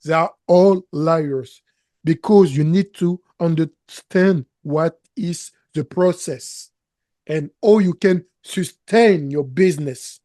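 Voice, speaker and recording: Slow, earnest French-accented man. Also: on the high side, mellow, no room sound, studio quality. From Canada. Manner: slow, earnest, emphatic, with non-native grammar slips. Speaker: guest, business coach. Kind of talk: interview conversation.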